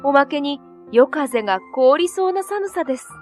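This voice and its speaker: High-voiced woman narrating a children's story. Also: lively intonation, moderate pace. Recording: soft music behind.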